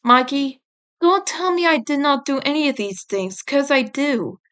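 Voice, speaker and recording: A woman's voice: high-pitched, measured pace, fairly bright tone, pitched above the performer's own voice. A woman reading the part of a blunt, guilt-ridden teenage mutant turtle boy in a story, awkward about feelings. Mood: guilty, upset.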